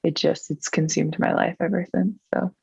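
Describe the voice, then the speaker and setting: Kiwi singer lady chatting in an interview, fry in her voice, muffled lo-fi sound, says like a lot. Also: deep voice, steady tone, normal speed, a little mellow, no echo. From New Zealand. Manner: chatty, frequent "like" and "um" fillers. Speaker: singer-songwriter guest. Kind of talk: casual interview chat.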